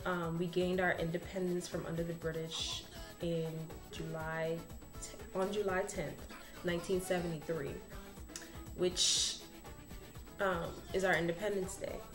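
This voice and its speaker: A woman's voice: lowish voice, flat delivery, a little slow. A young woman chatting animatedly as she explains things to her camera in a small room. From Bahamas. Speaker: vlogger. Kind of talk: casual explainer vlog. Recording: echoey room with faint background music.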